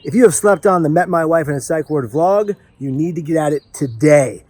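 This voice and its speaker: Exuberant man vlogging in a higher-pitched voice. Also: expressive, fairly quick, darkish tone, no echo. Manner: exuberant, playful, emphatic. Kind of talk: excited casual vlog chat while opening a parcel.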